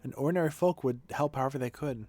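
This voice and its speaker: Man voicing an earnest, measured shelter woman. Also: medium pitch, a little mellow, natural voice. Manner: measured, formal, reflective. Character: earnest, dutiful woman running a family shelter. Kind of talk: reading video game dialogue aloud. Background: music.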